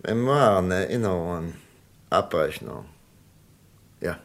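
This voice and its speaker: Low, creaky-voiced commanding older boss. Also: male voice, expressive. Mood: wry, genial. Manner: authoritative, hesitant pauses with filler sounds. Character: commanding male boss, ex-spymaster dictating his memoirs. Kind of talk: German radio crime drama acting.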